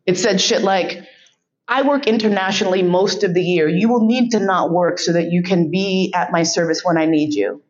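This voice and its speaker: A woman's voice: fairly low pitch, moderate pace, darkish tone, dull audio. A woman giving a keynote speech, telling a frank, profane story and laughing often.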